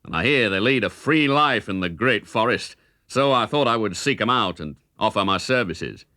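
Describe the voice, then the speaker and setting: Man acting huge older storybook brawler, blunt and hearty, commanding, forceful bright tone, animated pitch. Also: moderate pace, no room sound, studio quality. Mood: affable, earnest. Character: massive older quarterstaff fighter, blunt, hearty, taunting stranger.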